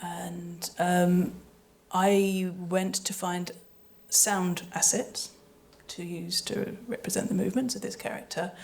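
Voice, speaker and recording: A woman's voice: fairly low pitch, fairly even intonation, slow pace, creaky, few pauses, a little mellow. A woman speaking on a panel, explaining in detail and thoughtfully, with some hesitant phrasing. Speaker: panelist, audio drama sound designer. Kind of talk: panel talk. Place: live event panel before an audience.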